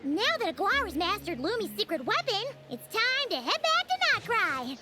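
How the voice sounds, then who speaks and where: A woman voicing a tiny, excitable, childlike fairy companion in a video game, squeaky and bubbly. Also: moderate pace, very noisy. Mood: excited. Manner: exclamatory, bubbly. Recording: loud music over the voice.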